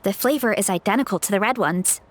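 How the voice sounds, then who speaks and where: An actress playing a sweet, easygoing young orchard grower in a video game, speaking earnestly. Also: female voice, mid-range voice, fast talker, natural voice. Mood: matter-of-fact. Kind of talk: English video game voice acting.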